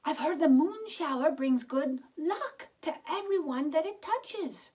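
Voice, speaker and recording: A woman voicing an excitable, tender old wife in a children's storybook, exclaiming and cooing. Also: high voice, unhurried, distant mic, voice pushed up. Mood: urgent, excited.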